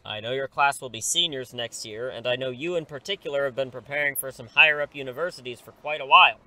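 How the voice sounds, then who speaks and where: A man's voice: mid-range voice, quick pace, pushed and bright, rough recording. An actor in an audio drama playing an earnest, persuasive teacher in his thirties who is secretly a superhero, pleading and wryly self-deprecating. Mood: reassuring, persuasive. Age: 30s.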